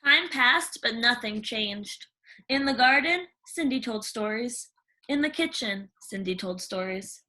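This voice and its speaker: A woman reading a children's story aloud in an expressive, theatrical way with dramatic pauses. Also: on the high side, rough recording. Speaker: storybook reader.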